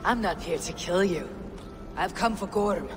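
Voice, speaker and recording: An actress playing a stern young female Viking warrior in a video game cutscene, curt, guarded and measured. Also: on the low side, music in the background, close to an everyday voice.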